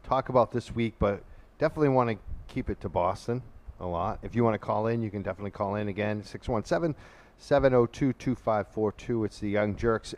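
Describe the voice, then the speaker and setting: A man's voice: on the low side, darkish tone. A man hosting a live call-in talk show with loose, rambling, casual chat.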